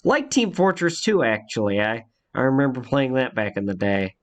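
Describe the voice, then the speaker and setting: A man's voice: medium pitch, very animated, moderate pace. A congested young man telling a rambling personal story in a casual vlog, with many fillers and restarts. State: congested from a cold.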